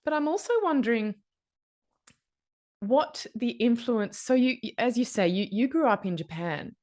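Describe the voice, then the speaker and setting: A woman's voice: a little high, expressive, moderate pace, a little mellow. A woman hosting a podcast interview, speaking conversationally and asking thoughtful, probing questions. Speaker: podcast host.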